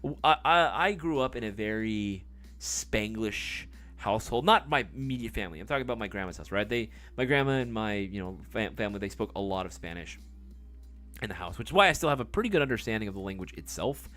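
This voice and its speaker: A man's voice: lowish voice, animated, fast, a bit bright. A man hosting a live stream in casual chat, reading viewer comments, rambling with many fillers and casual swearing.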